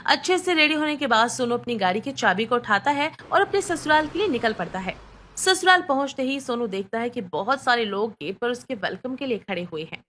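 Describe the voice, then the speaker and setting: Lady narrator doing a bedtime story, highish voice, some noise behind her. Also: expressive, brisk, fairly bright tone.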